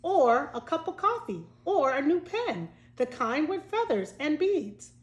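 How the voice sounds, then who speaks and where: A woman's voice: high voice, big pitch swings, measured pace, voice pushed up. A woman voicing eager, earnest young schoolchildren in a storybook read aloud. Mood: eager, caring. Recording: echoey room.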